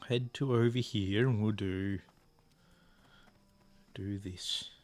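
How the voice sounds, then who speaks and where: A man giving relaxed, rambling, self-deprecating commentary while he plays a game. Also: fairly low pitch, slow, faint music behind. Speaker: gameplay streamer. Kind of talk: casual gameplay commentary.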